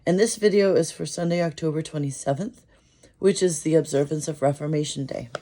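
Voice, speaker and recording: A pastor with an American accent, hoarse and losing their voice from a cold, reflecting on scripture in an unhurried, thoughtful way. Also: medium pitch, mellow. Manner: slow, thoughtful, with fillers. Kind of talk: casual sermon reflection.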